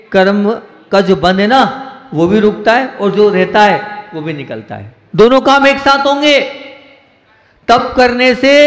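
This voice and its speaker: High-voiced man preaching in an echoey hall. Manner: rhetorical questions to the audience, repeating phrases for emphasis; swings between raised emphatic lines and quiet low asides. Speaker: Jain monk giving a discourse. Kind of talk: sermon. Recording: echoey hall with long reverb.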